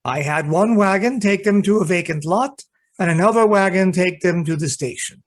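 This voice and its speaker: A man's voice: high voice, moderate pace. A man playing a polite, hesitant and sly valet in a radio play. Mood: deferential, nervous. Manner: hesitant, polite servant's delivery. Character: deferential, hesitant, secretly treacherous male valet. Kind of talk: amateur radio play acting.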